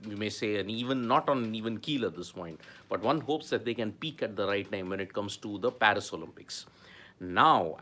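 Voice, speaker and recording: An Indian man hosting a morning sports news roundup. His voice sits at a middle pitch, and he speaks emphatically, doubling up words to hammer home each point. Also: a bit bright. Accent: Indian English. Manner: emphatic, repeats key words for effect.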